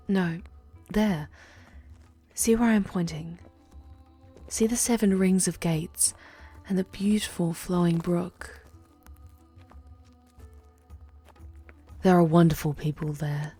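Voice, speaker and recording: A woman playing a charming demon queen who guides a traveller through hell in an ASMR roleplay, speaking in a formal, theatrical, deliberate way with breathy near-whispers. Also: fairly low pitch, darkish tone. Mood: inviting. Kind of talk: ASMR roleplay, dramatic monologue addressed to the listener.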